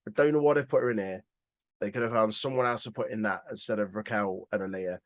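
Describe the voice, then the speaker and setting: A man's voice: on the low side, fast talker. A man co-hosting a podcast, giving rambling, informal, sweary opinions in casual chat. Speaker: podcast co-host.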